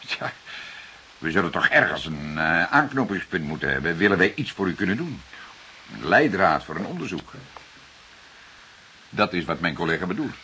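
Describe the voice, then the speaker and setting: A middle-aged actor playing a calm, patient senior detective in a crime drama, questioning in a deliberate, musing, hesitant way. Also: male voice, low-pitched, heavily acted. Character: calm, patient senior male police detective, gently probing. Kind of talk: naturalistic crime drama acting. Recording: hissy recording, faint noise.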